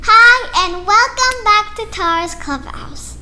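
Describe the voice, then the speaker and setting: Child female storytime host, very slow pace, lively and expressive. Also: very high voice, slight background noise. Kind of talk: reading a picture book aloud for kids.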